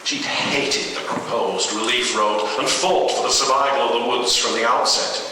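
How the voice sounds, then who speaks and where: A man's voice: medium pitch, normal speed, pushed and bright. A man reading a horror story aloud dramatically as a radio host, in an echoey, noisy recording at a distance from the microphone.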